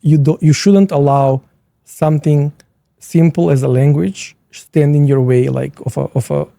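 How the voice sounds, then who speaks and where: A middle-aged man with a foreign Slavic accent, answering as an immigration attorney guest in a measured way, repeating words for emphasis. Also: a little high, steady tone, vocal fry, mellow, no room sound, clean studio sound. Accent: foreign, heavy Slavic. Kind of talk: conversational interview answers.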